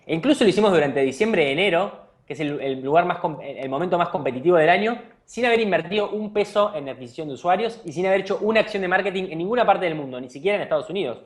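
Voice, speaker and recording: A man's voice: high-pitched, animated, quick pace, hardly any pauses. A man from Argentina with an Argentine accent, a company founder answering interview questions with earnest advice and frequent restarts. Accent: Argentine Spanish. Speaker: startup CEO being interviewed.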